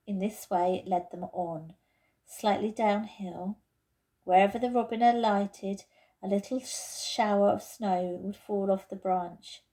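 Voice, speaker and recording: A woman reading a children's story aloud as an expressive narrator. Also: medium pitch, measured pace. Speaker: teacher reading aloud to pupils.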